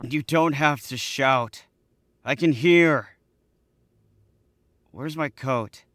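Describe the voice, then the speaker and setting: A man's voice: medium pitch, very slow, a bit bright, no echo, studio quality. A man playing a nervous, stammering young accomplice in an old-time radio drama recreation.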